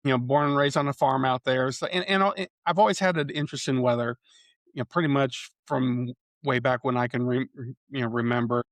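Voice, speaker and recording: A man in his fifties from central Iowa talks through his storm chasing as an interview guest. He speaks at a middling pitch and wanders through his answers, leaning on "you know" and restarting his sentences. The recording is dry, with no room sound. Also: steady tone, brisk, a bit bright, dry recording. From central Iowa, USA. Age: 50s. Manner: rambling, many "you know" fillers and restarts. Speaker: storm chaser and photographer, guest. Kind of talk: casual interview conversation.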